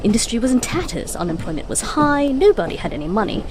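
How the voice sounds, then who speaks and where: An eccentric, brainy time traveller, played by a woman, speaking in a high, swooping voice. She is breezy and whimsical, lecturing like an audio drama heroine, with some background noise underneath. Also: very animated, quick pace. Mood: explaining. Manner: whimsical, chatty, lecturing, quaint turns of phrase.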